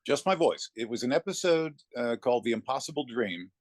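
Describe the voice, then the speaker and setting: A man's voice: mid-range voice, lively intonation, fairly quick, no room sound, studio quality. A middle-aged or older man, a voice actor, telling anecdotes casually as an interview guest.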